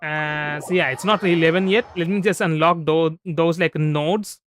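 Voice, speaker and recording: A man's voice: a little high, fairly even intonation, normal speed. A man chatting casually through a game livestream, rambling and often restarting his phrases. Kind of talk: casual gameplay livestream commentary. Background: soft background music.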